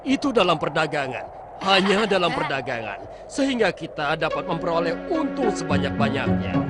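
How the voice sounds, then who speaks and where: Seorang aktor pria memerankan ayah paruh baya yang pemarah, licik dan suka membual dalam kartun religi anak, dengan gaya teatrikal. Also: male voice, a little high, sing-song, normal speed. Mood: boastful, scheming. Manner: theatrical, scolding. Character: irritable scheming middle-aged father, boastful shepherd and trader. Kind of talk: dubbed children's religious cartoon acting. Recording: loud music behind the voice.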